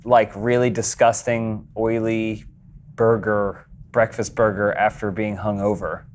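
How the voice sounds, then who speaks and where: A man's voice: lowish voice, little pitch movement, a little slow. A man on a podcast panel, telling stories with dry jokes in casual chat. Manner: dry, joking storyteller.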